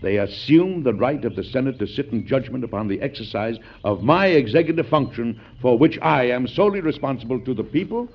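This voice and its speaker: An actor playing a principled, resolute American president in an old-time radio history drama, speaking formally and emphatically with an American accent. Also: male voice, medium pitch, slightly rough. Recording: dull, lo-fi classic radio recording.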